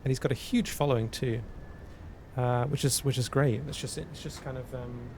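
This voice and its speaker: A man streaming casually, answering chat and working through math aloud in a halting way that repeats words. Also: steady tone, a little mellow. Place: cold indoor room. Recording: noisy with a low rumble.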